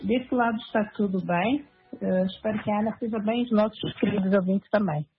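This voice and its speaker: A woman's voice: medium pitch, fairly quick. Female doctor guest, phone-line sound. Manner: warm greeting of listeners. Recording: phone-like line with faint hiss.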